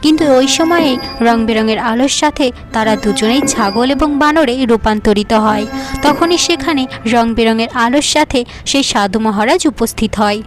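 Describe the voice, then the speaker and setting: A woman's voice: on the high side, moderate pace, over music. A woman narrating a scripted fairy tale for a cartoon.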